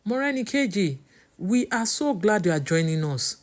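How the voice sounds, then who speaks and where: A woman's voice: mid-range voice, sing-song, normal speed, natural voice. Woman voicing a reassuring, sly Nigerian uncle. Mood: falsely reassuring. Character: friendly-seeming, smooth-talking deceitful uncle, a grown man.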